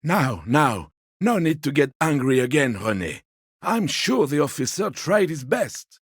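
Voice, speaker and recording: An actor voicing a gentle, sociable elderly man in a video game, courteous and wistful, teasing softly and taking his time. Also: on the high side, sing-song, measured pace, slightly rough, dry recording, clean studio sound, full character voice. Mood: teasing, exasperated. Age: 70s or older. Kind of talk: video game character dialogue.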